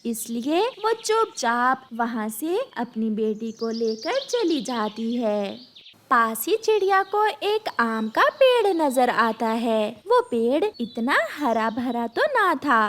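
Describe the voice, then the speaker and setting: Woman narrator for a kids' cartoon, slow, high, sing-song reading. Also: a little hiss or hum. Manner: slow, expressive. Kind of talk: scripted storybook narration.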